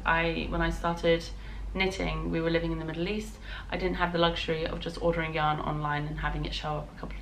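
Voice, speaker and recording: Female British vlogger, lowish hoarse voice with fry, chatty and informal. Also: fairly bright tone, a little hiss or hum, rough recording. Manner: rambling, chatty. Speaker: knitting vlogger and designer. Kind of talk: casual vlog chat.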